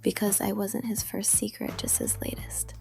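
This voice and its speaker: An actress playing a secretive socialite confessing bitterly in a noir audio drama, breathy, hushed and resigned. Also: female voice, mid-range voice, wildly swooping pitch, moderate pace, in a whisper, music in the background, exaggerated voice.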